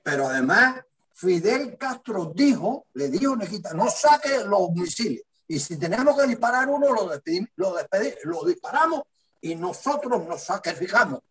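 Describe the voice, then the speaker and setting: An older man from Cuba with a Cuban Spanish accent, a guest analyst talking in an emphatic, lively way. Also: sits high, normal speed, low-quality recording. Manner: lively, emphatic, acts out quotes in varied voices. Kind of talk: animated interview talk.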